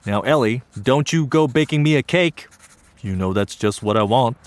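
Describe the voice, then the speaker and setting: An actor narrating as an earnest young student, telling his story in an earnest, wry and lively way. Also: medium pitch, normal speed, dry recording, natural voice. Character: earnest young Japanese American male student. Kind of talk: one-man stage play narration.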